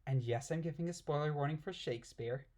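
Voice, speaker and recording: Higher-voiced man, irreverent casual reader. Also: fast talker, darkish tone. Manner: irreverent, giggly, often puzzled asides. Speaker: amateur reader and commentator. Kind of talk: casual commentary while reading aloud.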